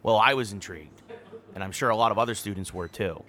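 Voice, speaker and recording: A man's voice: on the low side, fast, sharp tone. An actor playing a friendly young male teaching assistant with a light, chatty, teasing way, in a naturalistic audio drama. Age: young adult.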